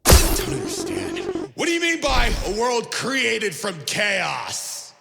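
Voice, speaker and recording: A man's voice: sits high, huge pitch swings, slow, vocal fry, heavily acted. A man playing an appalled, indignant military commander in a video game, speaking with outraged emphasis. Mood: bewildered. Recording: reverberant hall, loud music behind.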